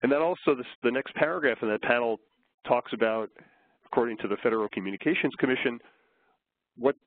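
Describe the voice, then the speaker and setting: A man getting over a flu, slightly hoarse, giving a measured, explanatory webinar presentation with frequent fillers. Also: medium pitch, no room sound. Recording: tinny phone line.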